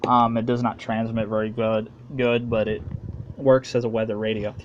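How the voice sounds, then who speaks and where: A man with an American accent giving a casual, halting, plain-spoken show-and-tell as a hobbyist making a home video. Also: lowish voice, flat delivery, muffled recording, slight background noise.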